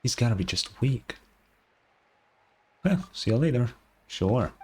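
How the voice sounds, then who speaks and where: A woman's voice: extremely low pitch, sing-song, measured pace, husky. A woman streamer giving casual, blunt reaction commentary while playing a video game. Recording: game music behind.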